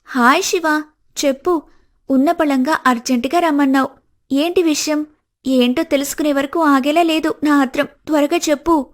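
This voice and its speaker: An actress plays a young woman in a cartoon story, coy and materialistic, with a cutting tongue. Her voice is clearly high. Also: fairly even intonation, normal speed, lightly acted. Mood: curious, eager. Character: coy, teasing, money-minded, scornful young woman. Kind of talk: scripted cartoon story dialogue.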